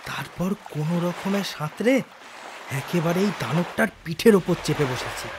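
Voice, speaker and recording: An actor playing a bold, hot-headed harpooner in an audio drama, with an emphatic delivery. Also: male voice, fairly high pitch, expressive, measured pace, noisy background. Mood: excited, explaining. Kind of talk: Bengali audio drama acting.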